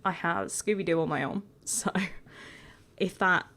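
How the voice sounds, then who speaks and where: A woman with a croaky voice, chatting casually and rambling a bit as she talks over her video. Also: fairly low pitch, unhurried, a bit bright. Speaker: gaming video creator.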